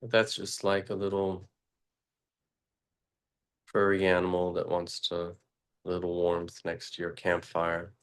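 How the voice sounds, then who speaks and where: A man leading a guided meditation, speaking slowly and haltingly with long pauses and fillers. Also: smooth. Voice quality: very low, flat voice. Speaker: meditation teacher.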